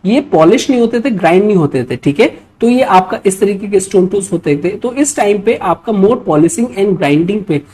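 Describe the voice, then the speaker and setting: A woman's voice: mid-range voice, expressive, normal speed, few pauses, dark tone. A woman with an Indian accent giving an instructional history lecture in mixed Hindi and English, reading out quiz questions and often adding check-in tags. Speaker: history teacher for exam preparation.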